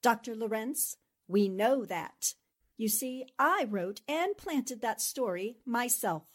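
A cool, self-assured newspaperwoman from an old-style radio drama, played by a woman. Her voice sits a little high. She is composed and articulate, with dry confidence. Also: lively intonation, slow. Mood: cool, triumphant. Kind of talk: 1940s radio drama acting.

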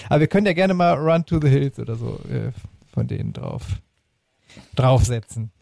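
A man's voice: medium pitch, animated, moderate pace, creaky, darkish tone, dry recording, studio quality. A man hosting a podcast in casual chat, often repeating words and phrases as he gathers his thought. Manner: stammering repetition of words and phrases. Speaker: podcast host. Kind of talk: casual chat about music.

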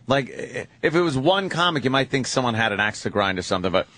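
A man joins the podcast chat with emphatic remarks, his pitch swinging widely. The sound is dry and close. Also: sing-song, fast talker, bright, forceful tone, no room sound.

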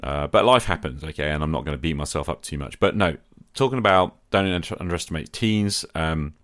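A man's voice: rumbling low, a little fast, a bit bright, no echo, studio quality. A man hosting a podcast, talking conversationally in an earnest, reflective manner. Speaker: podcast host.

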